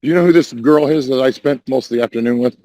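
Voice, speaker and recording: A hoarse man rambling defensively in casual voice chat, restarting and repeating himself. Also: medium pitch, fast, a little mellow. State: hoarse, says voice is damaged. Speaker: Discord voice chat caller.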